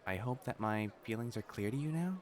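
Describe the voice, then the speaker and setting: A man's voice: lowish voice, lively intonation, brisk, a little mellow, slight background noise, lightly acted. A man playing a prim young matchmaker fiancé in a romantic audio roleplay, formal and earnest in speech, easily flustered. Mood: earnest, tender. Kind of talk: intimate scripted romance roleplay acting.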